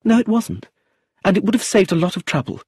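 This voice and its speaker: A grieving young woman answers bluntly and firmly in a slightly raised voice, as a man reading the story puts her on. The voice is breathy and husky, and the sound is dry. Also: sits high, sing-song, fairly quick, darkish tone, no room sound, voice pushed up, exaggerated voice. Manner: terse, firm, a little raised.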